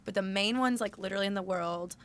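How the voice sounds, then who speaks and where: A young woman with a raspy, hoarse voice, chatting animatedly as a podcast guest. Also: medium pitch, fast, slight background noise. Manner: chatty, animated storytelling with frequent "like". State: hoarse from overuse. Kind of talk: casual chat.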